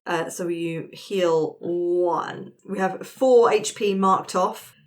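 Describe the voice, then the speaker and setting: A woman's voice: on the low side, takes it slowly. A woman facilitating a tabletop roleplaying game in a casual, conversational narrating style.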